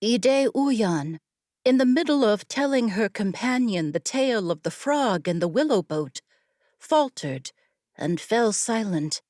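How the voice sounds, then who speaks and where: A woman narrating an audiobook story in a measured, expressive storytelling manner. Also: a little high, no echo, studio quality. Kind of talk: scripted fiction narration.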